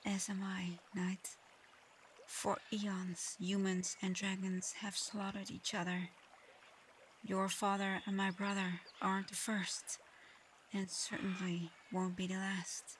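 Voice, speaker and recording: A woman voicing a proud but conflicted dragon girl in human form for an ASMR audio roleplay, soft-spoken, hesitant and earnest. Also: mid-range voice, completely flat delivery, takes it slowly, a little hiss or hum, close to an everyday voice. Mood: solemn, conflicted.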